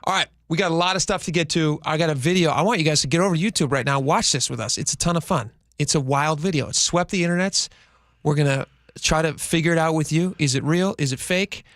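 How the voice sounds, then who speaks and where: A man co-hosting talk radio with a somewhat high, gravelly voice. He banters casually and jokes at his own expense. The studio sound is dry and close, with faint music underneath. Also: quick pace, fairly bright tone, dry recording. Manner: chatty, joking, self-deprecating. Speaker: radio co-host. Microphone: studio microphone.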